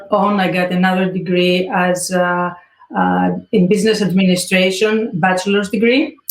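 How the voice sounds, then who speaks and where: A woman from Greece with a Greek accent, speaking English as a second language in an interview, pausing often with fillers. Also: mid-range voice, roomy sound, poor audio. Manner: hesitant, many fillers. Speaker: guest artist. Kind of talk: interview answers.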